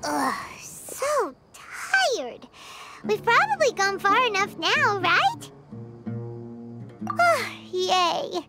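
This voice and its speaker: Tiny chatty fairy sidekick for a game: extremely high, childlike squeak, hugely swooping delivery. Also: female voice, sing-song, extremely slow, heavily acted. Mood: tired, chatty. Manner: refers to self in third person, exclaims. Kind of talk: video game voice acting. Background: music.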